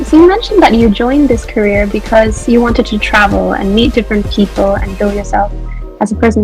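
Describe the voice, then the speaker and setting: A woman's voice: mid-range voice, darkish tone, rough recording. A young woman, a student interviewer, politely reading prepared questions. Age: young adult. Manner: polite, formal.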